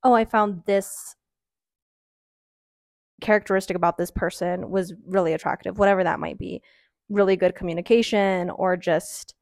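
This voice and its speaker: A woman's voice: medium pitch, no room sound, clean studio sound. A woman in her early twenties talking casually and frankly on her own, rambling with lots of "um" and "like.". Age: early 20s. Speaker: podcaster. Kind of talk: casual solo chat.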